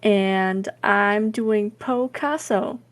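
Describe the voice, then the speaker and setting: A woman artist introduces herself on a drawing stream in an easygoing, plain-spoken way. Her voice sits at a middle pitch. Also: very slow. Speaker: guest artist. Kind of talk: casual self-introduction.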